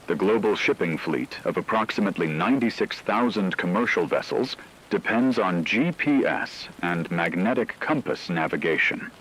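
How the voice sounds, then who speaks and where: A man delivering scripted documentary-style news narration in a measured, formal, grave manner. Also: low-pitched, a bit bright, slight background noise, rough recording. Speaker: radio broadcast host.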